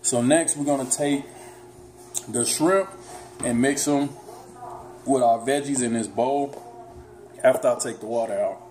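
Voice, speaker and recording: A man's voice: mid-range voice, unhurried, pauses often. A man in his thirties casually showing how to cook dinner, teasing and talking straight to viewers. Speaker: fitness vlogger cooking with his daughter. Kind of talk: casual home cooking demo. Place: home kitchen, indoors. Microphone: camera mic on the counter. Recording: echoey kitchen with loud background noise.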